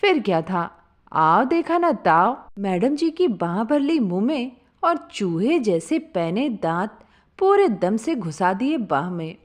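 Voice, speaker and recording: A woman narrating a humorous first-person story in an expressive, conversational way. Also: mid-range voice, slow.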